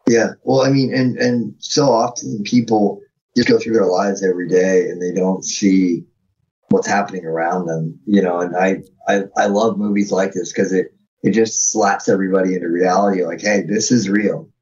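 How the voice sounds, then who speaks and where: A man's voice: deep voice, steady tone, moderate pace, darkish tone. American man chatting on slightly muffled audio. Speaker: podcast guest. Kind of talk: casual conversation.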